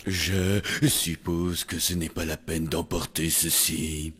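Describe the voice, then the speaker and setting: A man voicing a gloomy, formal undead hotel servant in a French cartoon, speaking in a dour, deliberate manner. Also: a bass voice, little pitch movement, over loud music. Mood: dour resignation. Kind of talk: French animated cartoon dubbing.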